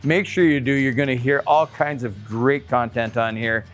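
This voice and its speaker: A man's voice: mid-range voice, steady tone, normal speed, soft background music. A middle-aged man hosting a trucking video, talking casually to the camera outdoors in a folksy, upbeat way. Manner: folksy and chatty, upbeat asides; nervous on camera. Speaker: trucking channel host.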